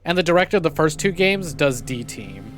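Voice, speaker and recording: A man's voice: fairly high pitch, sing-song, brisk, a bit bright, faint music behind. A man hosting a livestream, giving casual, conversational game commentary straight to his viewers. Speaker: livestream host.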